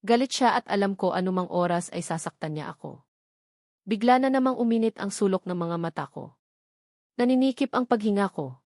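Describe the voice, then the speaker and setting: A woman's voice: medium pitch, expressive, fairly quick, a little mellow, dry recording, clean studio sound. A woman reading a dramatic first-person story as an audiobook narrator, in a scripted style.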